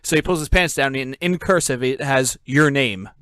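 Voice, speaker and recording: A man's voice: medium pitch, moderate pace, fairly bright tone, no room sound. A man from New Jersey hosting a podcast, chatting casually over a call, laughing often and telling stories full of fillers. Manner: laid-back, laughs often, filler-heavy storytelling. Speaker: podcast host. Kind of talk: casual interview chat.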